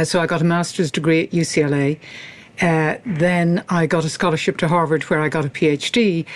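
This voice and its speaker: An older woman from Ireland speaks with an Irish accent in an interview. Her phrasing is formal and considered, like that of an experienced academic giving reflective answers. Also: sits low. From rural Ireland. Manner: articulate, measured, formal phrasing. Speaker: interview guest, academic and former university leader.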